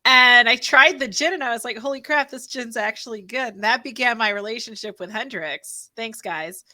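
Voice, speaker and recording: A woman's voice: on the high side, normal speed, sharp tone, no echo. A woman hosting a podcast, chatting in a breezy, casual way. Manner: breezy and casual, says "dude" a lot. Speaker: podcast host. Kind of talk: casual chat.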